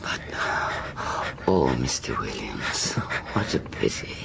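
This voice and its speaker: A woman's voice: very deep voice, big pitch swings, takes it slowly, gravelly, heavily acted. A woman acting a genteel, eerie granddaughter in a radio horror drama, murmuring with languid, coy politeness. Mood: eerie, pitying. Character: genteel, eerie eighty-three-year-old unmarried granddaughter. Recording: noisy radio drama recording.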